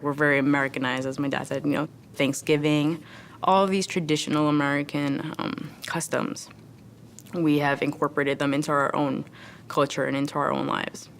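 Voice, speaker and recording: A woman's voice: sits low, little pitch movement, moderate pace, a little hiss or hum. A woman in her twenties telling her family's story steadily in a documentary interview. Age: 20s. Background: background music.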